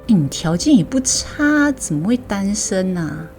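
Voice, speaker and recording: A woman's voice: mid-range voice, sing-song, a little slow, darkish tone, soft background music. A woman voicing a blunt, teasing friend, bantering in an audio story. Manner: exclaiming, bantering.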